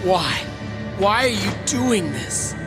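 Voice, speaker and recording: A man's voice: high-pitched, lively intonation, slow pace. An actor playing an earnest young robot hero pleading with his commander in a video game cutscene. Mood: anguished. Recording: game audio with music.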